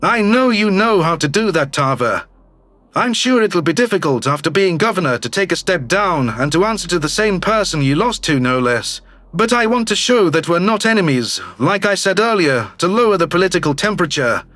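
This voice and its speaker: A man's voice: high voice, animated, normal speed, a bit bright, no echo, voice pushed down. A man voicing a smug, calculating alien governor, slick and self-satisfied, in a theatrical audio drama style. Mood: slick, persuasive. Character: smug, calculating male alien politician, newly elected governor. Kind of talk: scripted sci-fi audio drama dialogue.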